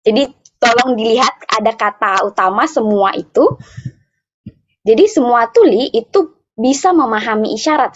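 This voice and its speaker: A woman interpreting live for an interactive online workshop, speaking phrase by phrase in a halting way with repeats. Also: fairly high pitch, breathy edge. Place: online video meeting. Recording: dull, muffled online call audio.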